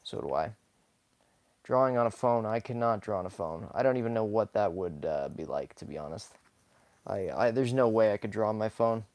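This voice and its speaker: A hoarse young man talks casually while sketching live, rambling softly with many fillers and small laughs. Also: on the low side, animated, brisk, no room sound. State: hoarse, scratchy from talking too much. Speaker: live-streaming sketch artist. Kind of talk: casual commentary while drawing.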